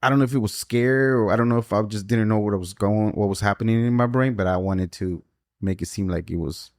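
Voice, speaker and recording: A man from Mexico hosts a podcast with a somewhat low voice, recorded close and dry. He keeps things relaxed and conversational, asking questions and affirming often. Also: quick pace, no room sound. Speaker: podcast host. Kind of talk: casual podcast interview.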